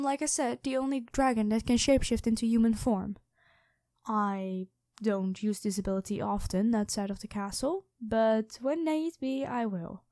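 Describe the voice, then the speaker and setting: Mellow, high-voiced regal dragon queen played by a woman. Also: dry recording, clean studio sound, close to an everyday voice. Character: imperious, calmly threatening dragon queen in human form. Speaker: voice actor in an audio roleplay. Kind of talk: ASMR roleplay monologue addressed to the listener.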